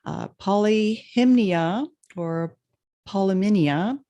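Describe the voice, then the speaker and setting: A woman's voice: mid-range voice, takes it slowly, warm tone. A woman co-hosting a casual spiritual chat, hesitant, with many fillers, stumbling over names. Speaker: podcast co-host.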